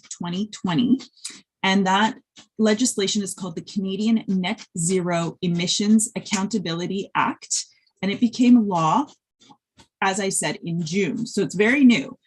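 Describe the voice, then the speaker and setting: A woman's voice: on the low side, darkish tone, slightly rough. A middle-aged woman whose first language is Polish, presenting a report at an online conference over a video call, friendly and full of fillers and restarts. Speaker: conference speaker, industry researcher.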